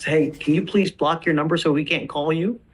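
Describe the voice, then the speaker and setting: A man's voice: fairly high pitch, fairly even intonation, moderate pace, breathy edge. A man speaking as a judge in a courtroom, stern and admonishing as he addresses lawyers and a defendant.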